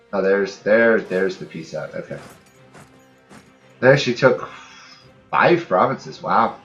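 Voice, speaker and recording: A man's voice: sits low, a bit bright, muffled recording. A man giving casual commentary on a strategy game, thinking aloud and muttering to himself in short fragments. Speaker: gamer, host of a let's play series.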